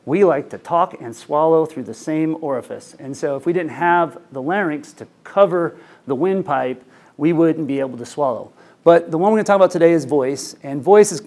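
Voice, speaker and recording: A man's voice: a little high, darkish tone. A man in his forties, an ENT physician giving a conversational lecture to clinicians, speaking into a handheld microphone in a conference room. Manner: conversational, anecdotal, frequent fillers.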